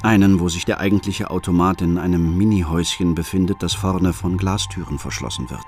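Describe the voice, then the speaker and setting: Man as a troubled middle-aged father, low voice, measured, brooding narration, radio drama, music behind. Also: lightly acted. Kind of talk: scripted first-person radio play narration. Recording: music under the voice.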